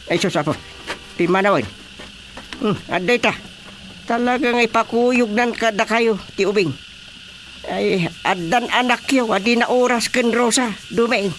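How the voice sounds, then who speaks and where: A woman's voice: fairly high pitch, unhurried, long pauses, slight background noise, close to an everyday voice, rough recording. Opinionated, chiding village woman, played by a woman. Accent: Ilocano. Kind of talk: radio drama comedy acting.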